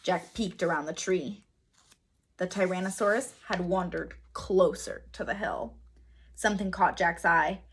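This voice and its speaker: A woman reading a children's story aloud as a teacher, with expressive, dramatic emphasis. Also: on the low side, a little hiss or hum. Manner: dramatic emphasis, pauses to quiz listeners.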